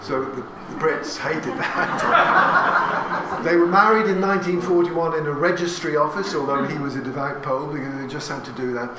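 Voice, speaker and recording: A man in his sixties with an English accent talks to a live audience, his voice sitting a little high for a man. The sound is roomy and recorded from some distance, with audience noise behind him. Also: normal speed. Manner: chatty and anecdotal, with asides and questions to the audience. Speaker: speaker giving a talk. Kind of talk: talk to a live audience about family history. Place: hall with an audience.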